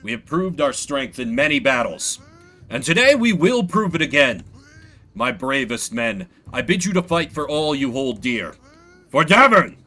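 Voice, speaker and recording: Man playing a stern, commanding medieval king, bright-voiced. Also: medium pitch, big pitch swings, unhurried, heavily acted. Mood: rousing, solemn. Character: stern medieval king addressing his subjects and army.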